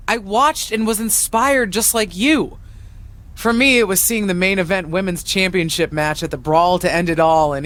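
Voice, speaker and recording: A woman's voice: mid-range voice, a bit bright. An actress playing a middle-aged female wrestling veteran and mentor, proud, teasing and emphatic, in a video game cutscene.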